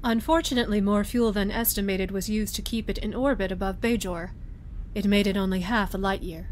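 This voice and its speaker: Casting: actress as logical Vulcan female commander, precise and emotionless, sci-fi radio play, rumbly noise behind. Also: steady tone, a little fast, lightly acted. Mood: formal, reporting. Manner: formal, precise, unemotional diction. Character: composed, formal, logical Vulcan woman starship commander. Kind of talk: science fiction fan audio drama acting. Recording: clear background noise with low rumble.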